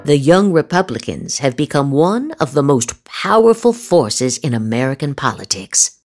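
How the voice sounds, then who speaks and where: A woman's voice: rumbling low, very animated, normal speed, darkish tone. A woman host reading social media posts aloud in a theatrical, emphatic way, drawing out her words. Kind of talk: dramatic verbal replay of written posts. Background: music.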